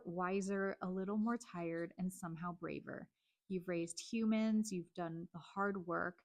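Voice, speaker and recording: A woman in her forties whose first language is Chinese, hosting a reflective personal monologue in a calm, earnest, measured way. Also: on the low side. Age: 40s. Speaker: host.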